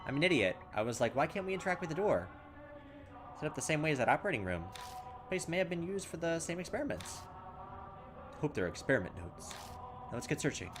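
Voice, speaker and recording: A man's voice: fairly high pitch, big pitch swings, brisk, long pauses, music in the background. A man playing a horror game and reading its text aloud, thinking aloud and making fun of his own mistakes. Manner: self-mocking, thinks aloud, loses his way. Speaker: let's play gamer.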